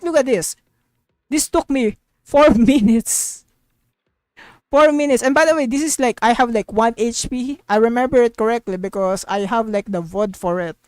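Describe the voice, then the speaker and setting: A woman's voice: mid-range voice, no echo. A woman live streamer giving casual, rambling gaming commentary, emphatic and opinionated, repeating phrases to drive points home.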